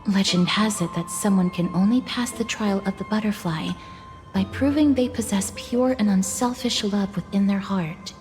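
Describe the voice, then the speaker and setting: A woman playing a gentle, solemn and polite young woman in a fantasy video game, soft-spoken and measured in a formal way. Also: medium pitch, big-room sound, natural voice. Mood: calm, thoughtful. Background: background music.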